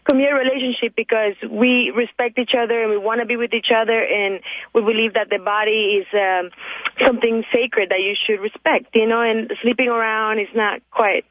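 A woman's voice: on the high side, fairly even intonation, moderate pace, no echo. Salvadoran woman calling in by phone. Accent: Salvadoran Spanish. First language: Spanish. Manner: chatty, laughs often, many fillers. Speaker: caller. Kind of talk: call-in radio conversation. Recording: tinny phone line.

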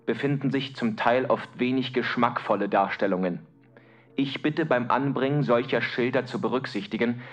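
A man's voice: medium pitch, natural voice. Man reading as a 1930s nazi party bureaucrat, formal and matter-of-fact, slightly dull sound, music under it. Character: cool, bureaucratic male Nazi party leader's circular. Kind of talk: documentary radio play, official circular reading.

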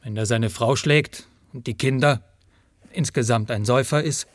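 An actor playing a rootless, humble and anxious peddler in a German radio play, with a halting, rambling way of talking. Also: male voice, medium pitch, no room sound, clean studio sound, natural voice. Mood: plaintive, rambling. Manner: halting, rambling, says "one" instead of "I".

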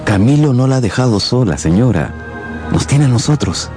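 An actor playing a courteous, sympathetic family friend in a radio drama, speaking solicitously and with deliberation. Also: male voice, mid-range voice, lively intonation, moderate pace, mellow, faint music behind, lightly acted. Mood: courteous, welcoming. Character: courteous, sympathetic male family friend visiting an invalid lady.

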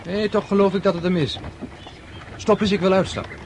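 A man's voice: high voice, steady tone, fairly quick, slight background noise. An actor playing an eager young nobleman giving orders in a radio drama.

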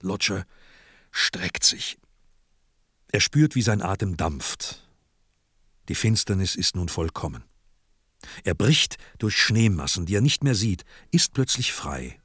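A man narrating a radio play from a script in a dramatic style, with clipped, deliberate phrasing. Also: low-pitched, lively intonation, a bit bright, slightly rough, no room sound, studio quality.

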